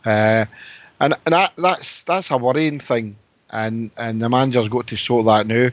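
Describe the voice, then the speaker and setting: A man co-hosting a fan phone-in show, talking casually and haltingly, often repeating words. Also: mid-range voice, no echo, highs cut off. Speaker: co-host.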